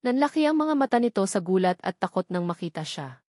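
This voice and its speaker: A Filipino woman narrates a dramatic romance story. Her voice sits at a medium pitch for a woman, and the sound is dry, with next to no room in it. The delivery is scripted storytelling. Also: big pitch swings, fast, darkish tone, no room sound.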